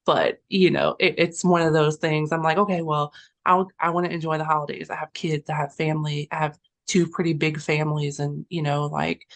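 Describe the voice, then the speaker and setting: A woman's voice: low-pitched, fairly quick. A woman in her thirties hosting a podcast interview, talking casually and at length, with frequent small laughs. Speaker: podcast host.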